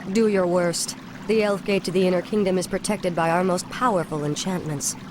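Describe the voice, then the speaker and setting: An actress playing a proud, defiant elf ranger general in a fantasy video game, with a stern, commanding delivery. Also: female voice, on the low side, steady tone, brisk, background noise, close to an everyday voice. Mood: stern defiance. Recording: loud music behind the voice.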